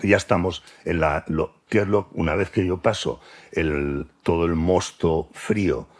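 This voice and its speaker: A man's voice: deep voice, unhurried. A man with an accent from Spain, giving an unscripted expert explanation in a didactic way, often checking that he is understood. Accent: Spanish from Spain. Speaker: science expert explaining brewing.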